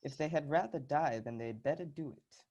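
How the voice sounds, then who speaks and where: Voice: mid-range voice, normal speed, darkish tone, dull audio, close to an everyday voice. A young performer playing a grumpy, miserly old man in amateur readers' theatre, curt and dismissive. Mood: sour, dismissive.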